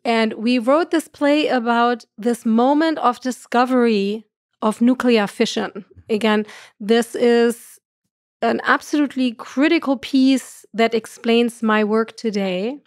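Podcast guest, German-accented woman, middle-aged, expressive and earnest, dead-dry studio sound. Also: a little high, takes it slowly, dry recording. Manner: earnest, gestures a lot, laughs often. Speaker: guest astrophysicist. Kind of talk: podcast conversation. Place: room with curtains. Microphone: studio mic on boom arm.

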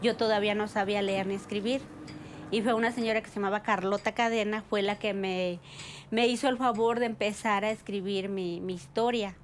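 A woman looks back on her past in plain speech that halts and restarts as she searches for words. Her pitch is mid-range, with faint background noise. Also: fairly even intonation. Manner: halting, with restarts and pauses. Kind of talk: interview recollection.

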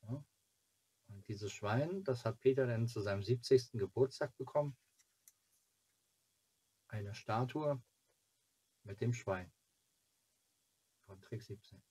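A man in his forties from Germany chatting casually to camera as a fan channel host, in a halting, rambling way. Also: medium pitch, warm tone, slightly rough. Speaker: fan club video host. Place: small cluttered home room.

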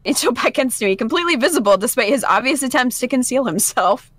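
A woman's voice: sits high, animated, quick pace, dry recording. A young woman co-hosting a gameplay video, chatting casually and reading visual novel text aloud. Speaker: gaming video co-host.

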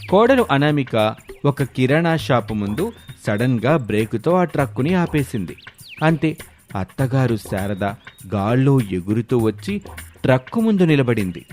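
Mid-pitched male story narrator. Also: big pitch swings, measured pace, darkish tone, faint music behind.

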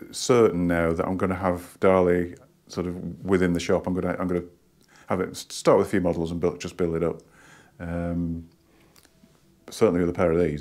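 A man in his fifties with a northern English accent, hoarse from a cold, casually reviewing hi-fi speakers at home in a rambling, hesitant way full of ums and restarts. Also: deep voice, a little mellow. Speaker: hi-fi shop owner reviewing speakers. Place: living room at home, indoors.

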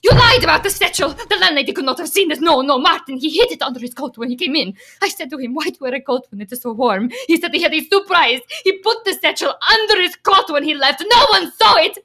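An actress playing a desperate Slovak immigrant wife in a radio play, pleading and defiant in halting, Slovak-accented English. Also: female voice, high-pitched, expressive, pushed and bright, exaggerated voice. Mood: agitated, accusing.

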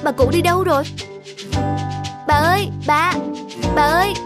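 A high-voiced, kind peasant wife. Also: female voice, moderate pace, over music. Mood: astonished. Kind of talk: Vietnamese animated folk tale voice acting.

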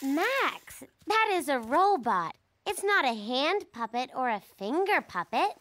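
High-pitched bossy little girl bunny. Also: very animated, a little slow, a bit bright, heavily acted. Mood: patiently bossy. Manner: articulate, patient, instructing. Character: bossy, cheerful young girl bunny, big sister. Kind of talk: children's cartoon acting. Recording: music behind the voice.

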